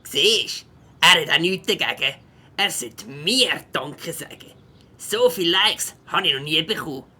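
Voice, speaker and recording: Woman as a husky, cocky hedgehog boy. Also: sits low, animated, unhurried, bright, forceful tone, full character voice. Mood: smug. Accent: Swiss German. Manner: cocky, slangy. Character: cheeky, stubborn young schoolboy hedgehog. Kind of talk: children's audio drama acting.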